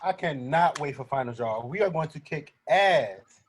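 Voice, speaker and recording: A man's voice: a little high, animated, normal speed, slightly rough. A young man acting in a student play as a brash, blunt, competitive gamer. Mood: cocky, excited. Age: young adult. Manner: blunt, cocky.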